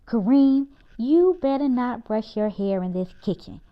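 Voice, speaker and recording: A woman's voice: a little high, lively intonation, measured pace, highs cut off. A woman reading the part of an encouraging but firm, instructive mother in a storybook.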